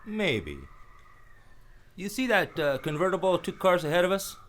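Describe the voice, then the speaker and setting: A man's voice: high-pitched, animated, normal speed, a bit bright, slight background noise. A man playing a cynical, laconic, world-weary private detective who is protective of a woman in a noir radio play. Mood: probing, wry. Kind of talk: radio play acting with first-person noir narration.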